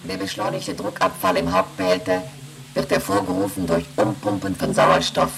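An actress playing a female ship's computer in a German radio play, reciting emergency readings in a clipped, procedural monotone. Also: very deep voice, normal speed, creaky, a crowd in the background. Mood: impassive, procedural.

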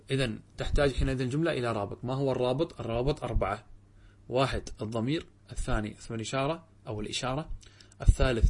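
A man teaching Arabic grammar to students, posing questions to the class and answering them himself, reciting quoted text. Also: mid-range voice. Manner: addresses students directly, checks understanding.

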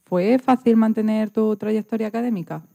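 Female interviewer. Very mellow, medium-pitched, informal delivery. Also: normal speed, vocal fry.

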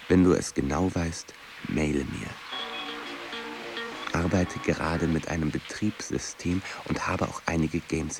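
An actor playing a laconic programmer boyfriend, reading out his emails in a radio drama. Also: low-pitched. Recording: loud music behind the voice.

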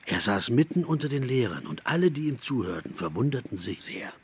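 Casting: sly tempter, mid-pitched, animated, insinuating, mocking radio drama sidekick. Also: male voice, a little fast, slightly rough. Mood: murmured needling. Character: sly mocking male tempter, a needling companion.

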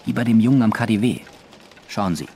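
An actor playing an earnest, measured police investigator in a German radio drama. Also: male voice, on the low side, animated, dark tone, a little hiss or hum. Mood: grave, careful. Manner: measured, serious. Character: earnest male police investigator reporting a conspiracy.

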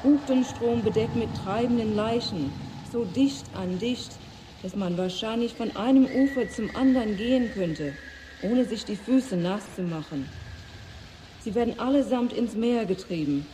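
An actress playing a shaken eyewitness reporter in a radio drama, describing a destroyed city gravely. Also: female voice, fairly high pitch, unhurried, dark tone, lightly acted. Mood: grim, shaken. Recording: clearly noisy, traffic-like ambience.

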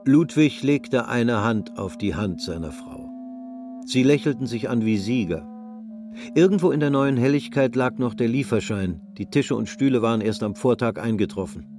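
A man narrating a literary radio drama in a sober, measured manner. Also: medium pitch, animated, over music.

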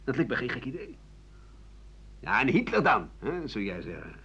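Husky, bitter old man. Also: mid-range voice, huge pitch swings, moderate pace, pushed and bright, full character voice. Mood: defensive, indignant. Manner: rambling, coarse, sardonic, stammering at times. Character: bitter, self-justifying old French doctor-writer looking back. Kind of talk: radio play monologue. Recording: dull lo-fi 1980 radio recording.

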